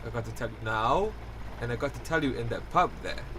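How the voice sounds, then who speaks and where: A man's voice: mid-range voice, animated, a little fast, background noise, natural voice. A man acting a blunt, matter-of-fact alien posing as a human in a radio play. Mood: urgent.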